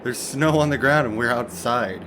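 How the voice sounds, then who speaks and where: A man acting a sceptical, excitable young ghost hunter in an amateur horror story, flippant and scoffing. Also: mid-range voice, moderate pace, noisy background, natural voice.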